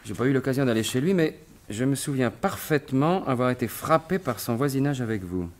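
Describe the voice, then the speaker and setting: An actor playing a sly, self-assured gentleman thief turned sleuth in an animated 1950s-style French radio mystery. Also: mid-range voice, a little fast, close to an everyday voice. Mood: pondering, excited.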